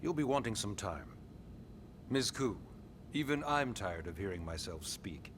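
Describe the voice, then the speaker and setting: A man playing a senior government official in a video game, speaking in a formal, courteous and deliberate way. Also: fairly low pitch, sing-song, background noise. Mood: polite, formal. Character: formal, polite senior male government official, calmly authoritative. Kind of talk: English video game cutscene acting.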